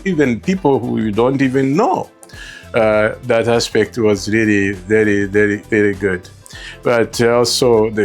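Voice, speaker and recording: A middle-aged man from Rwanda with a Rwandan accent tells his personal story as an interview guest, halting and repeating words as he reflects. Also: mid-range voice. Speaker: interview guest, store co-founder.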